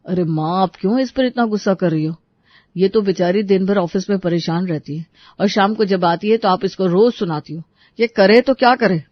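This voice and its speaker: A man's voice: high voice, normal speed, no room sound, lightly acted. A man voicing a placating, reasonable family man in a dramatised household story, on a slightly muffled recording. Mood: reproachful. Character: reasonable, pleading men of an Indian household.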